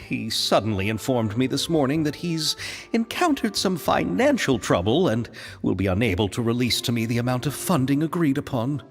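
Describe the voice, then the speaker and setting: Excitable, flustered film director. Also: male voice, medium pitch, normal speed, big-room sound. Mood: worried, apologetic. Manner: hesitant, flustered, effusive. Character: earnest, anxious, excitable male film director. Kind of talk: video game voice acting. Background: music.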